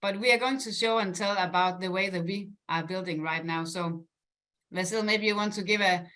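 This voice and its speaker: Casting: upbeat woman from Denmark, bright tone, presenting on a business call with easy fillers. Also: medium pitch, a little fast, poor audio. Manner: upbeat, laughs often, many fillers. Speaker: guest presenter.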